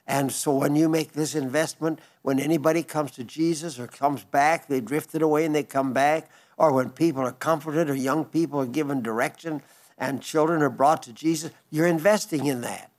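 A man in his nineties giving a broadcast sermon, reading listener letters in a gentle, rambling way with frequent restarts. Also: a little high, steady tone, normal speed. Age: 90s. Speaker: radio preacher.

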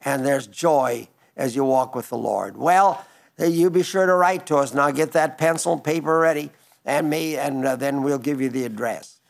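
A man in his nineties giving a broadcast sermon, reading listener letters in a gentle, rambling way with frequent restarts. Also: medium pitch, normal speed, creaky. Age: 90s. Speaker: radio preacher.

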